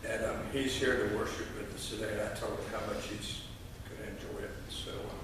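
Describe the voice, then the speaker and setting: A man in his sixties speaking at a church lectern as a lay reader, in a folksy, halting way with frequent pauses. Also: lowish voice, flat delivery. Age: 60s. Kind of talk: informal church address and scripture reading. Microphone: distant camera microphone. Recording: echoey church, distant mic, noisy.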